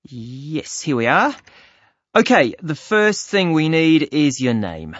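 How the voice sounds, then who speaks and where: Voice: mid-range voice, very animated, slow, no echo. A voice actor playing a friendly club receptionist who fills in a new member's form, chatting easily with small laughs and ums. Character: friendly office clerk taking a new member's details. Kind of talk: scripted listening-test dialogue. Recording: dry, slightly dull studio recording.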